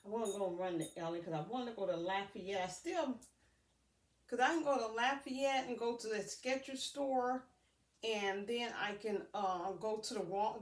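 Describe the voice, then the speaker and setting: A woman vlogger chatting casually to viewers in a rambling, folksy way, often thinking aloud. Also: medium pitch. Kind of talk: casual unboxing vlog chat to viewers. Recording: roomy, distant mic, faint noise.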